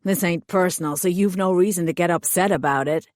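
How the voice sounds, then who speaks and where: A woman's voice: on the low side, a little fast, dry recording, clean studio sound, lightly acted. A woman reading aloud, voicing a big hired male thug who speaks bluntly and matter-of-factly. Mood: unfazed.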